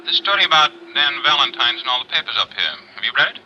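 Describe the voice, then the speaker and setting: An American man playing a brisk, businesslike insurance manager on a telephone call in a 1950s radio drama. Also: medium pitch, sing-song, sharp tone. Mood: brisk, concerned. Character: brisk, eager male insurance company manager. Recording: faintly noisy old radio, phone call.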